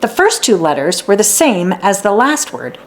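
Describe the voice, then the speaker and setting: Expressive woman reading a children's storybook, lively pitch. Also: moderate pace. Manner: acts out dialogue and sounds out letters. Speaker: children's book read-aloud narrator. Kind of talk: expressive storybook narration for young readers.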